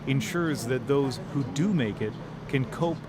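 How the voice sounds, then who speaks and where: A man's voice: mid-range voice, lively intonation, measured pace, background noise. A man with a Canadian accent working as a TV news reporter, delivering scripted narration and interview questions.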